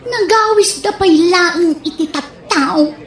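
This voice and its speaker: A woman's voice: very high-pitched, slow, a bit bright, exaggerated voice. A woman playing a fault-finding, gossipy neighborhood mother in an Ilocano comedy radio drama, with an Ilocano accent. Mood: gossipy complaining. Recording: roomy echoey sound.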